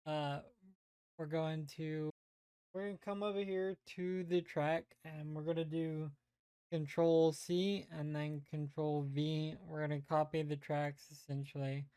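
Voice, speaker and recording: A woman giving a casual, unscripted software tutorial, hesitantly thinking aloud as she goes. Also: deep voice, clear, resonant tone, no echo. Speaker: tutorial presenter.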